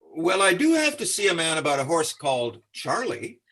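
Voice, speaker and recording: A breezy, oblivious bachelor neighbor played by a man in a radio comedy, affable and forceful. His voice is on the high side, rough-edged and animated. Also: normal speed, poor audio. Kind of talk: old-time radio comedy acting.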